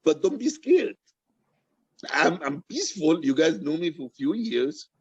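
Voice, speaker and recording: A man's voice: fairly high pitch, very animated, a little slow, slightly rough, no echo, highs cut off. A man, an author, talking candidly and passionately about his writing, emphatic and often repeating himself for stress. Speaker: author and poet answering audience questions. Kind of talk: animated, candid book-reading discussion.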